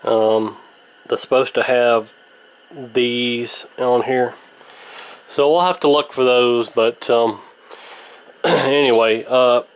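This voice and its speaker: A man's voice: mid-range voice, steady tone, slow, long pauses, clean tone. A man giving a casual, unscripted repair demonstration in a folksy, rambling, hesitant way. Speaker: host of a vintage radio repair channel.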